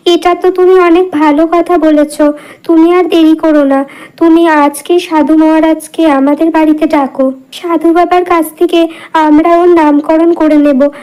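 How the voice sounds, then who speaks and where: A woman's voice: extremely high pitch, little pitch movement, unhurried, warm tone, rough recording. A woman voicing an agreeable, earnest village wife and mother in a cartoon. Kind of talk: cartoon dialogue.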